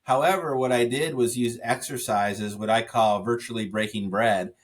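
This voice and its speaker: A man speaking as a podcast guest, telling stories in an animated way with frequent restarts and "you know" fillers. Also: mid-range voice, normal speed. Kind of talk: conversational interview.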